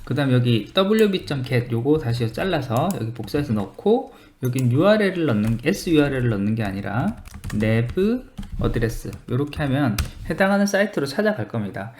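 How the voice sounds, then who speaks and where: A screencast tutor with a mid-pitched voice and animated intonation. The delivery is methodical and explanatory, thinking aloud through each step while working at a computer. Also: brisk, slight background noise. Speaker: software tutorial presenter.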